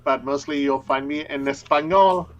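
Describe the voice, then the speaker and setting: A man's voice: on the high side, moderate pace, muffled recording. A man guesting on a casual livestream chat, laughing easily and rambling through his stories. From Mexico. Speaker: guest tarot reader.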